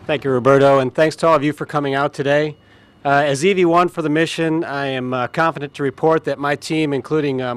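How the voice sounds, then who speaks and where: Clear, slightly high-voiced astronaut. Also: male voice. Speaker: astronaut, spacewalk lead. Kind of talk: formal press statement.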